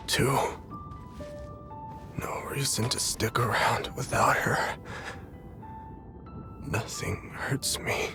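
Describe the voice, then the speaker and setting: An actor playing a rough, cocky but devoted young henchman in a game cutscene, mortally wounded, with a casual, irreverent drawl. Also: mid-range voice. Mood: fading. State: wounded, strained, fading. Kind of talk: dramatic game cutscene acting. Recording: voice over loud background music.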